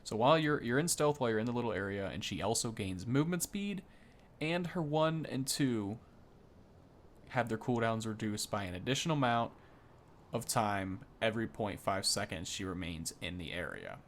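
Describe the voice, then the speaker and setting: A man's voice: on the low side, very animated, moderate pace, a little hiss or hum. Rambling man doing casual game commentary. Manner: rambling, self-correcting, easily impressed. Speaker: gaming video host.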